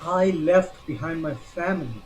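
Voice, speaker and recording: A man acting in a stage play as a migrant father who calmly reassures his anxious partner while waiting in line with their papers. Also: fairly high pitch, a little slow, lightly acted. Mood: earnest. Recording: echoey stage recording.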